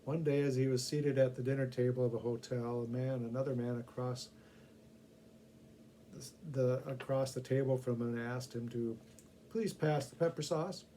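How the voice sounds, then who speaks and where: A man's voice: medium pitch, steady tone, normal speed, dark tone. A middle-aged man reading short humorous stories aloud, with casual asides to the listeners. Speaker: story reader. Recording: faint background noise.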